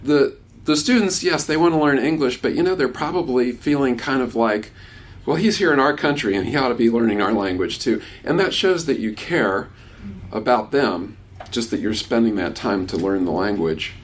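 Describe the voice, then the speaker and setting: A man in his sixties talks with a workshop crowd in a relaxed way. He is conversational and often restarts his sentences as he answers questions. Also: medium pitch, normal speed. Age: 60s. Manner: warm, conversational, many restarts. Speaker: workshop speaker. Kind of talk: casual talk with questions from the audience.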